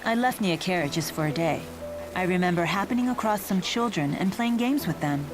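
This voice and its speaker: A dignified spirit lord in female form voiced by an actress for a game. She speaks formally and earnestly in a lowish, composed voice, with clear music behind her. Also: expressive, normal speed, natural voice, rough recording. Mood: wistful, composed. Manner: composed, matter-of-fact, formal.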